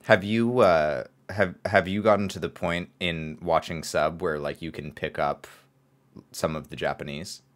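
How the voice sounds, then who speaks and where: A man's voice: low-pitched, fairly even intonation, a little slow. A man hosting a casual chat, hesitant and full of stammered restarts.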